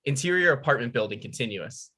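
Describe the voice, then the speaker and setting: Guy reading screenplay stage directions, mid pitch, bright clear-cut voice, table read. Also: expressive, fast. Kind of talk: scripted narration at a table read.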